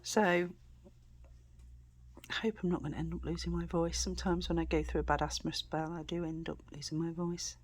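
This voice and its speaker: A British woman vlogging casually to camera, chatty and rambling, her voice a bit croaky. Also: lowish voice, steady tone, brisk. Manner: chatty, rambling with many fillers and restarts.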